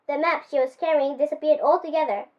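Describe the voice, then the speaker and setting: A ten-year-old girl from Japan reading her own picture book aloud in an expressive storybook style. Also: high-pitched, quick pace, dull audio. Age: child, 10 years old.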